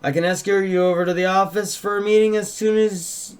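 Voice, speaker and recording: A man plays an eager young small-town deputy in a drama table read. The voice sits high and speaks with polite, folksy deference. The manner is talkative and earnest. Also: steady tone, a little fast, clear, resonant tone, lightly acted. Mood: courteous, eager. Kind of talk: script table read, in character.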